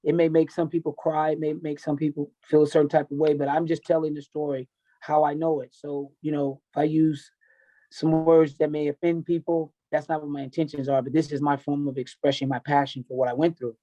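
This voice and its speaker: A man's voice: on the high side, flat delivery, fairly quick, warm tone, low-quality recording. An American man in his fifties telling his life story candidly as an interview guest, in a blunt, emphatic, unfiltered way. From United States, grew up in Tennessee and California. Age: 50s. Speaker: podcast guest, MMA coach.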